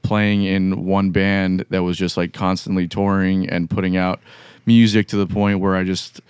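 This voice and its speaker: A man hosting a podcast, a musician talking over his work in a halting, reflective way. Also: low-pitched, level tone, darkish tone, clear, resonant tone, dry recording, studio quality. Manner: halting, reflective, many restarts. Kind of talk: casual podcast chat.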